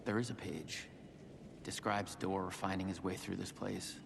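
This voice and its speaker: A bewildered, worn-down sheriff in a video game thriller. His voice is deep and creaky with gravel, sounding lost in a strange place, with clear ambient noise around him. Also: fairly even intonation, measured pace. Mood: weary, puzzled. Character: weary, bewildered sheriff trapped in a dreamlike otherworld. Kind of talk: video game cutscene acting.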